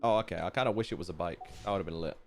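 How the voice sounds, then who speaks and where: A man's voice: low voice, very fast, over music. A man live-streaming a game with casual, offhand, joking reaction commentary full of slang.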